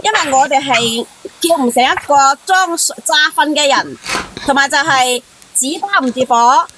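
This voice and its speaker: A woman's voice: high voice, normal speed, a bit bright. A woman caller giving cautionary, moralising advice over a call. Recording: noisy phone call with clicks.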